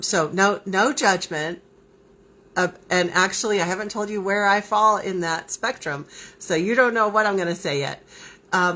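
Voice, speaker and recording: A woman talks straight to her viewers in a relaxed live stream, her voice a little froggy from a cold. She speaks slowly and sits on the lower side of the range. She circles back over her questions with plenty of ums. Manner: unhurried, repeats questions, frequent ums. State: slightly hoarse from a cold. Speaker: host of a spiritual live stream. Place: home, southern Arizona.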